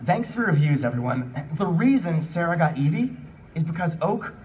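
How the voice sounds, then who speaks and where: Male comedy panel host, sarcastic fan fiction reader, very animated, off-mic in a noisy room. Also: on the high side, moderate pace, recorded from a distance. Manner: mock-serious, sarcastic. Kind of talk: comic live reading of bad fan fiction with asides.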